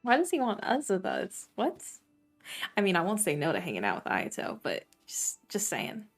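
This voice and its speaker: A woman's voice: medium pitch, huge pitch swings, normal speed, faint music behind. A woman live streamer giving casual, gushing and playful commentary as she plays a game.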